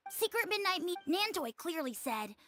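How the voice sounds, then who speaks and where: An actress playing a smug, dutiful tattletale child in a video game. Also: female voice, very high-pitched, sing-song, normal speed, sharp tone, faint music behind, exaggerated voice. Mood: smug, accusing.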